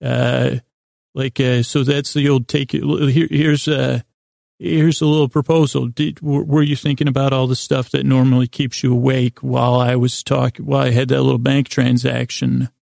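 Creaky-voiced, mellow man rambling gently. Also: medium pitch, level tone, no echo, clean studio sound. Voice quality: creaky, gravelly. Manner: meandering, halting, self-interrupting, goofy. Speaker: podcast host. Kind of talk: rambling improvised bedtime podcast monologue.

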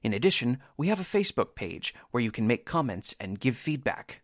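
A man reading a scripted announcement for a radio drama in a formal, measured announcer's delivery. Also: mid-range voice, very animated.

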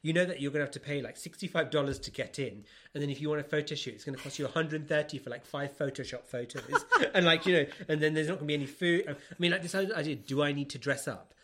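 A man with a slightly high, sharp and bright voice. He co-hosts a podcast in loose, comedic chat. His delivery is animated and exclamatory, peppered with swearing. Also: fast talker, hardly any pauses. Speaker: podcast co-host. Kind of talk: casual comedic chat about books.

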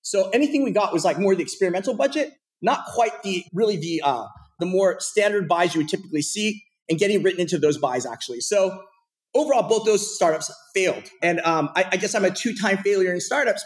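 Fast-talking man telling his career story. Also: sits high. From Portland, Oregon. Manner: rapid, animated storytelling with frequent fillers. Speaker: podcast guest. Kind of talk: casual interview storytelling.

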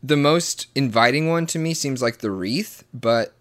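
A man's voice: medium pitch, a little slow, a bit bright. A man in his late twenties chatting casually as a tarot client, tentatively thinking aloud. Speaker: client receiving a tarot reading. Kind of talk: casual chat.

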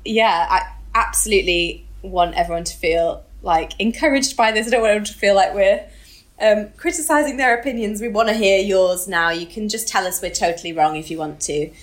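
A woman's voice: medium pitch, brisk. A British woman co-hosting a podcast in a casual, chatty and informal way, with a giggly streak. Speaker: podcast co-host.

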